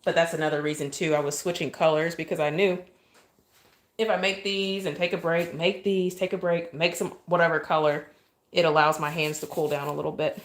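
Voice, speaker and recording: Southern woman, soft calm lower voice, chatty livestream cake decorator at a kitchen counter. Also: a little fast. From Oklahoma, USA. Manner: chatty, thanks viewers often, asides while working. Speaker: cake decorator live-streaming. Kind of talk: casual livestream chat while piping icing.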